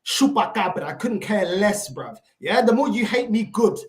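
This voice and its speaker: A woman's voice: mid-range voice, expressive, moderate pace. Fiery woman ranting in an echoey room. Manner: mocking, fiery, mixes in Portuguese insults. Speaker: football panel guest. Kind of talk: heated fan rant on a panel show.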